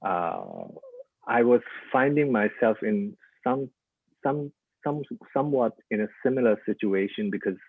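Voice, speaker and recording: A man from Nepal presenting his artwork in a halting talk, with frequent "uh" and "like" fillers. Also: on the low side, sing-song, gravelly, dry recording. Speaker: artist presenting his work. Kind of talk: informal lecture with slides. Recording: tinny, dry phone-like audio.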